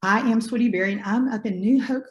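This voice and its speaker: A woman with a hoarse voice from bronchitis, teaching a live online class over a video call in a folksy, encouraging way and asking her students questions. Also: on the high side, fast talker, echoey room, dull audio. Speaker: online business instructor. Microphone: computer mic on a video call.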